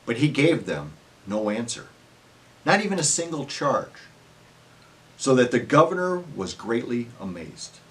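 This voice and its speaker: Expressive man reading scripture solemnly. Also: mid-range voice, measured pace, low-quality recording. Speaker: narrator of a church dramatic reading.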